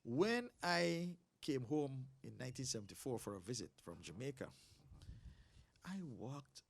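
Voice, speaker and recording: A man in his sixties from Belize, a pastor telling stories in a relaxed interview, animated and quick to laugh. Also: mid-range voice, vocal fry, dry recording. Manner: animated storyteller, laughs often. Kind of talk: casual interview talk.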